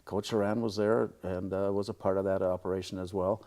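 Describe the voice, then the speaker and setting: An older man with a Midwestern American accent, talking modestly and in wordy, measured phrases as an interview guest. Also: sits low, flat delivery, dark tone. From small-town upper Midwest, United States. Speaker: radio play-by-play broadcaster, interview guest. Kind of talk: casual interview chat.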